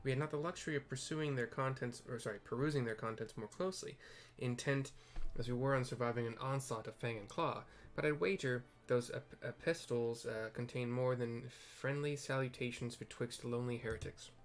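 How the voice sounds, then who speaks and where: A man's voice: medium pitch, a little fast, lightly acted. A man voicing earnest, formal fantasy game characters, reading wordy archaic dialogue with the odd stumble.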